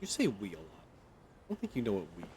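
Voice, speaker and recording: A man's voice: fairly low pitch, huge pitch swings, fairly quick, a little mellow, slight background noise. A man hosting a gaming video, giving casual, wry commentary as he plays. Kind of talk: casual game commentary, reading dialogue along.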